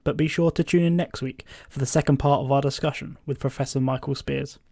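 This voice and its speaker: An actor playing an earnest podcast host from a post-apocalyptic future, speaking in a measured, presenterly way. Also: male voice, medium pitch, fairly even intonation, vocal fry, mellow, no room sound. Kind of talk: scripted fiction podcast hosting.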